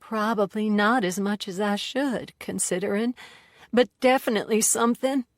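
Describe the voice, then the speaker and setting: A woman voicing a blunt, folksy Southern woman with an Alabama drawl in an audiobook, speaking plainly in rough country grammar. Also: medium pitch, normal speed, no echo, studio quality, pitched above the performer's own voice, natural voice. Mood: guarded. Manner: folksy ungrammatical speech, plain-spoken. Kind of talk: audiobook character voice.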